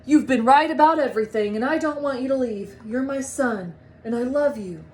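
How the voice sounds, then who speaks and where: A woman's voice: high voice, moderate pace, natural voice. A woman voicing an earnest, sincere father in a children's storybook read-aloud. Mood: apologetic, loving. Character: earnest father, a busy businessman with a soft heart.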